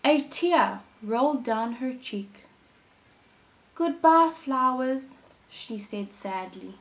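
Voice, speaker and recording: Slow-paced woman teacher telling stories to children. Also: fairly high pitch, low-quality recording. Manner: expressive, deliberate phrasing for young children. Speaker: primary school teacher. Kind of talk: children's storytelling.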